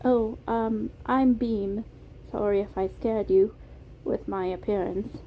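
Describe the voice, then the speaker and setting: A woman voicing a shy, polite, gentle male animatronic with a soft British accent, in an amateur story reading. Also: mid-range voice, fairly even intonation, slow. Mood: shy, apologetic. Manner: soft-spoken, polite, hesitant. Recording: tinny phone-like audio, clear noise.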